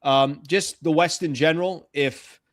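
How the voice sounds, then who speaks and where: A man's voice: on the high side, a little slow, no echo, clean studio sound. An American man hosting a sports talk show, chatting casually with a guest and putting questions to him.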